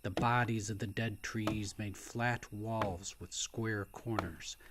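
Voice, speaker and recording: A man reading a novel aloud as a dramatic narrator, in a hushed, deliberate and suspenseful way that at times nears a whisper. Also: lowish voice, steady tone, heavy background noise. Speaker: author reading own novel. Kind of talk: dramatic audiobook-style story narration.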